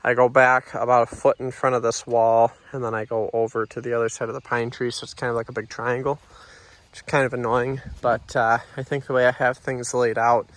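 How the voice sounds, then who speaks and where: A man's voice: mid-range voice, fairly quick. Stuffy-nosed man vlogging outdoors. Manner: relaxed, rambling, lots of uh fillers. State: nasal from allergies. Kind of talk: casual vlog walkthrough. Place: outdoors on a commercial lot and driving in a truck.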